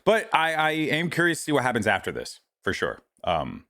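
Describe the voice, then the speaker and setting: A man co-hosting a wrestling podcast, talking informally and haltingly with lots of "you know" and "like". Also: fairly high pitch, huge pitch swings, bright, forceful tone. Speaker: podcast co-host. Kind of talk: casual wrestling chat.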